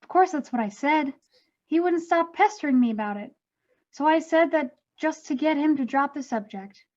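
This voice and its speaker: A woman playing a candid, motherly ghost of a bakery owner in an animated comedy table read. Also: high voice, moderate pace, lo-fi sound. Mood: candid, regretful.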